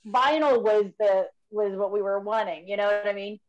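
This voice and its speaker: A woman's voice: medium pitch, moderate pace, highs cut off. A woman in her fifties talks loosely on a video call, stopping and restarting her thoughts. She is a metal musician chatting with old friends through a webcam mic. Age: 50s. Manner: rambling, frequent fillers and restarts. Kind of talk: casual video call chat.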